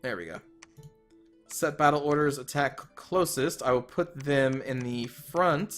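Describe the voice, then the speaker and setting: A slightly hoarse man talks through a strategy game at his desk, musing aloud, trailing off and correcting himself as he goes. His voice sits in a middle pitch, and a faint thread of music plays underneath. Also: expressive, unhurried, fairly bright tone. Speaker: let's player. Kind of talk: casual strategy game commentary.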